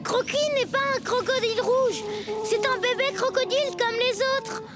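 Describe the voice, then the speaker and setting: A woman's voice: very high-pitched, moderate pace. A woman playing an eager, slightly reckless young jungle boy in a cartoon dub. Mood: pleading. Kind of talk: animated cartoon dub acting. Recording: loud music behind.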